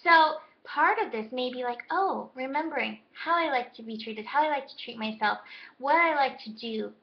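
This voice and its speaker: High-voiced hoarse woman astrologer. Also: moderate pace, lo-fi sound. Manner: gentle, reflective, spiritual. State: hoarse, losing her voice. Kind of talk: daily horoscope talk.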